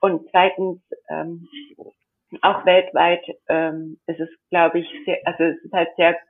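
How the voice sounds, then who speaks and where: A woman's voice: on the low side, flat delivery, unhurried. A young woman who grew up in India, telling her story as an interview guest in a chatty, rambling way with many fillers and frequent laughs. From grew up in India, born in Germany. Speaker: interview guest, model and entrepreneur. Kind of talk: casual interview chat. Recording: tinny phone-like line.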